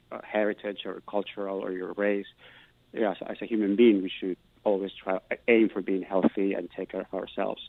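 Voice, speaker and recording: Middle-aged man, low-pitched, earnest and searching, phone-thin dry audio. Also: no echo, narrow, tinny audio. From Peru. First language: Spanish. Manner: earnest, thoughtful, restarts words while searching. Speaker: guest, Spanish professor. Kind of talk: recorded interview answers.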